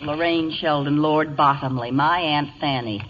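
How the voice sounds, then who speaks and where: An actress playing a sharp-witted, long-suffering secretary with a dry, sardonic manner in radio comedy style. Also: female voice, sits low, measured pace, heavy background noise. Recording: dull old radio broadcast recording.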